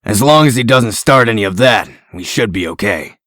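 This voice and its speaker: A man playing a gruff, irritable, cynical tough guy in a comic dub, with sardonic grumbling. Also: fairly low pitch, animated, moderate pace, a bit bright, no echo, clean studio sound. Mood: irritated, sardonic. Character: gruff, cynical, irritable male detective type.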